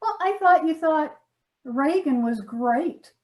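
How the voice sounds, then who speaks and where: Actress as a high-pitched frustrated, nagging wife. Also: female voice, lively intonation, slow pace. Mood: reproachful. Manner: reproachful, emphatic, sarcastic. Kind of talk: comic readers theater sketch.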